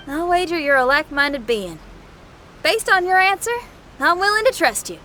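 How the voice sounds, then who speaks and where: A woman's voice: very high-pitched, very animated, normal speed, bright, forceful tone, soft background music, heavily acted. A woman playing a confident, free-spirited young musician warrior in a video game, bold and folksy in manner. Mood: warm, confident. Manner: folksy, bold, plain-spoken.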